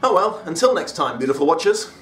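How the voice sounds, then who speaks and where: Fast, animated young man reviewing. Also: a little high, faint music behind. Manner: sarcastic, bursts into mock outrage. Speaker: video essay host. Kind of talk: scripted comedic review narration.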